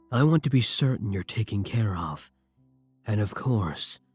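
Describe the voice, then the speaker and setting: A man playing a brooding, tender vampire king in intimate ASMR roleplay, husky and courtly, with formal endearments and hesitant pauses. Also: on the low side, heavily acted. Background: soft music.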